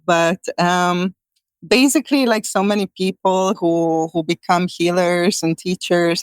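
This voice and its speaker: Hungarian-accented woman with a smooth voice. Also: on the low side, no echo. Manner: thoughtful, many fillers and restarts. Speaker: guest, shamanic healer. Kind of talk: conversational interview answers.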